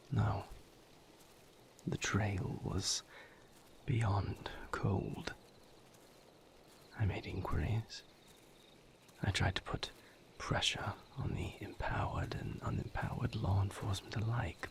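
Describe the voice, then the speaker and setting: A low, gravelly vampire lover, acted by a man speaks in an intimate roleplay monologue. He is brooding and somber, confiding a grim past slowly and haltingly in a breathy murmur. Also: steady tone. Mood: weary, regretful. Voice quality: breathy, gravelly near-whisper. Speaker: audio roleplay performer.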